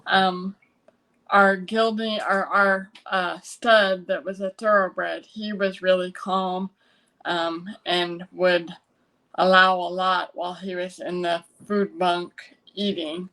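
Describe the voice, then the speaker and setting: A woman with an American accent speaking conversationally as an interview guest, halting often to gather her thoughts. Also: lowish voice, level tone, fairly bright tone. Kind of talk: conversational personal storytelling.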